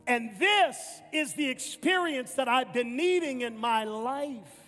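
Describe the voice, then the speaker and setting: A preacher acting out an astonished church guest. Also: male voice, very high voice, animated, takes it slowly, pushed and bright, full character voice. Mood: earnest. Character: startled adult male first-time church guest. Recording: echoey hall with faint music.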